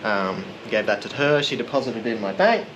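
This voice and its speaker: A man narrating a casual vlog in a relaxed, rambling, self-deprecating way. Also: lowish voice, normal speed, background noise. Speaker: vlogger.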